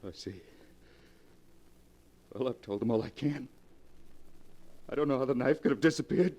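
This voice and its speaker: An actor playing a despairing man accused of murder, halting and emotional in 1940s radio drama style. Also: mid-range voice, warm tone, lightly acted. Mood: hopeless, haunted. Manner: melodramatic, halting, emotional delivery.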